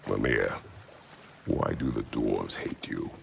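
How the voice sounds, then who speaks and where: Huge gravelly stern warrior, extremely deep. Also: male voice, takes it slowly, background noise, full character voice. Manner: terse, clipped commands. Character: huge, stern, gruff warrior father of few words. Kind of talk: dramatic video game acting.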